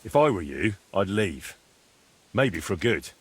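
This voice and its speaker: An actor playing a sensible, helpful adventurer in a video game, speaking in a frank, questioning and wry manner. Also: male voice, sits low, very animated, measured pace, husky, dry recording, full character voice. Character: sensible, helpful young male adventurer with dry wit. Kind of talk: video game dialogue acting.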